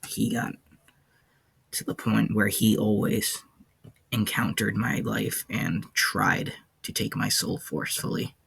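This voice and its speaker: A man playing a weary, haunted ancient warden in an audio log, speaking in a halting, somber way with a gravelly, husky low voice. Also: steady tone, fairly bright tone, no echo, exaggerated voice. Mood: weary, grim. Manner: halting, somber, hesitant delivery. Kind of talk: character audio log, slow monologue.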